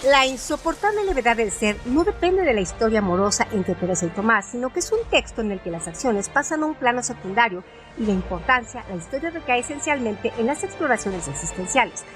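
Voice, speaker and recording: A woman with a Spanish accent, reading literary prose aloud and then presenting a critical analysis in a measured, expressive way. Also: fairly high pitch, soft background music. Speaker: narrator and host.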